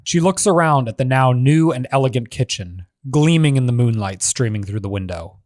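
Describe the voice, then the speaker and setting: A male narrator reads screenplay action lines in a scripted style. His voice is mid-pitched, and the recording is dry with very little room sound. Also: expressive, normal speed, a little mellow, no echo.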